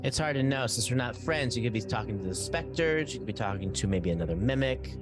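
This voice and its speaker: A man's voice: mid-range voice, animated, fast talker, over music. A man chatting casually as a player at a tabletop game.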